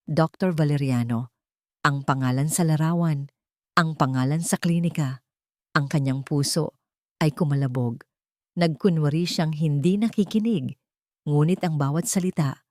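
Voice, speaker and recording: A woman's voice: low-pitched, darkish tone, dry recording, studio quality. A woman with a Filipino accent narrating a scripted story in a dramatic audiobook style.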